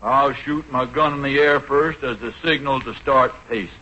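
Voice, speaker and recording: An actor playing a cocky Old West cowboy with a folksy, drawn-out delivery in 1940s radio comedy. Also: male voice, mid-range voice, bright, forceful tone. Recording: dull, noisy 1940s radio recording.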